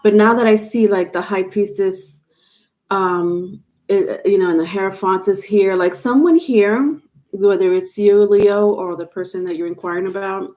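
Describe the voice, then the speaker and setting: A woman's voice: mid-range voice, steady tone, measured pace, mellow, rough recording. A woman with a stuffed-up nose giving a live tarot reading on camera, rambling with many fillers and restarts. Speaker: tarot reader. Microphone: webcam or phone on camera.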